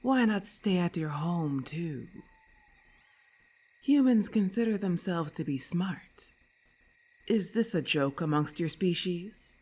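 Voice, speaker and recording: A woman's voice: on the low side, expressive, slow pace, darkish tone, slightly rough, heavily acted. A woman voicing a haughty, irritable lamia in an ASMR roleplay, with hissing, imperious and formal speech, scornful and menacing.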